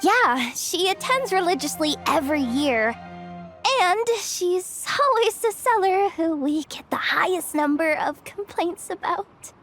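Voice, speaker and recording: A woman's voice: very high-pitched, expressive, measured pace, fairly bright tone, full character voice. A woman playing an earnest, overworked young woman manager in a video game, with a fretful delivery. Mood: weary, pleading. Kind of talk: animated game voice acting. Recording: music under the voice.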